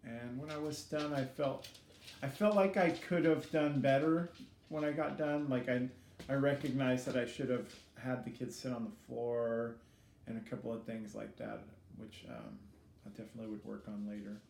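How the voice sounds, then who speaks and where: A man's voice: medium pitch, steady tone, gravelly, a little mellow. Middle-aged male interviewee, hesitant and reflective, casual delivery; echoey room, faint background noise. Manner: hesitant, halting, self-critical. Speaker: student teacher being interviewed.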